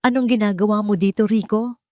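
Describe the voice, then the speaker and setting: A woman's voice: mid-range voice, steady tone, fairly quick, darkish tone, dry recording, clean studio sound, pitched above the performer's own voice, close to an everyday voice. A woman voicing a stern, protective young mother in an audio drama, speaking in a hard, firm, defiant way.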